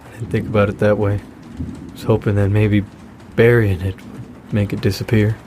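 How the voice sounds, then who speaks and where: Actor as a grieving father, halting. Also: on the low side, little pitch movement, background noise, lightly acted. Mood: subdued, candid. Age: middle-aged. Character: grieving, caring widowed father of a daughter. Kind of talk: naturalistic audio drama acting.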